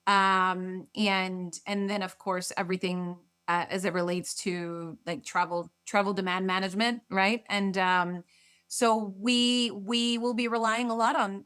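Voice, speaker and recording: A woman's voice: mid-range voice, fairly bright tone, clear, resonant tone. A middle-aged woman from Argentina, a marketing executive being interviewed, speaking casually with frequent fillers, repeats and restarts. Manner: hesitant, many restarts and fillers.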